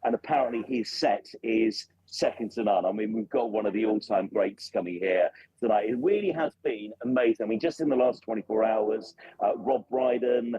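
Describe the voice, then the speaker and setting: Husky-voiced man reporting from a race circuit. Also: medium pitch, dark tone, poor audio. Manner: enthusiastic, promotional. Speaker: radio presenter, guest reporting live. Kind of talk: live outdoor report to a radio show. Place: outdoors at a motor racing circuit. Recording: remote line, cars audible behind.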